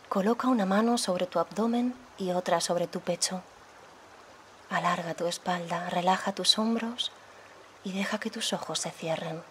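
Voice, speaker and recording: A woman leading a guided meditation as a yoga guide, speaking softly and soothingly, unhurried, with pauses between phrases. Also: lowish voice, a little hiss or hum. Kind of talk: guided meditation, slow calm instruction.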